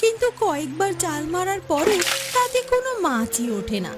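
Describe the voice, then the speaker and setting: A woman's voice: very high voice, big pitch swings, normal speed. A woman giving scripted narration for a moral-story cartoon. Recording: loud music bed behind the voice.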